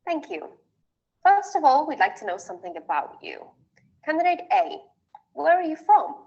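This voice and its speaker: A woman acting as examiner, reading formal exam prompts in a measured way. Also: high-pitched, very animated, a little mellow, lo-fi sound. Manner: formal, measured, rising on each question.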